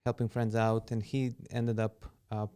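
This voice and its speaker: A man in his forties from Serbia, a podcast guest and real estate agent talking in a relaxed, unhurried way with frequent fillers. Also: fairly low pitch, smooth. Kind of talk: casual interview conversation.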